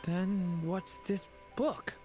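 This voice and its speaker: An actor voicing a gentle, naive young boy in a video game, polite, earnest and unsure. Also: medium pitch, extremely slow, faint music behind. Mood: puzzled. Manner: polite, hesitant.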